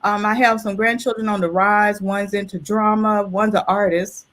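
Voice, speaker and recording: A woman's voice: medium pitch, steady tone, normal speed, fairly bright tone. An older woman, over sixty, speaking as an interview guest in an earnest, conversational way, repeating phrases for emphasis. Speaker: illustrator and artist, interview guest. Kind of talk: casual interview chat.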